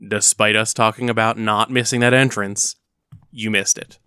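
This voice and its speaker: A man's voice: fairly low pitch, level tone, normal speed, bright, forceful tone, smooth, no room sound, clean studio sound. Insistent, take-charge hero played by a man. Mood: firm, commanding. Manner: decisive, insistent, talks over objections. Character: brisk, commanding male celebrity hero directing a musical. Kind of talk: audio drama dialogue.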